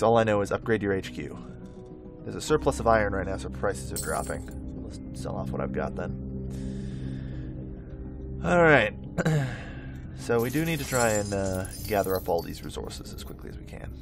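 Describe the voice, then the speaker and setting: A man with a fairly low voice talks through a game he is playing, loosely and casually. He thinks aloud, hesitating and correcting himself as he goes, with music clearly playing behind him. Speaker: host of a gameplay video.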